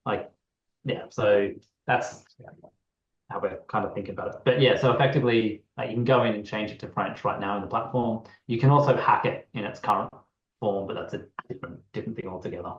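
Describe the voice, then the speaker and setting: A man's voice: fairly low pitch, fairly even intonation, brisk. A man co-founder of a creative AI tool, explaining in a loose, rambling interview chat over a video call. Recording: roomy, dull lo-fi call audio.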